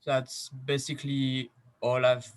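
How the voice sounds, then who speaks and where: A man's voice: medium pitch, monotone, takes it slowly. A young man from France with a French accent, introducing himself hesitantly with many fillers, speaking on a video call. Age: young adult. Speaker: scholarship recipient.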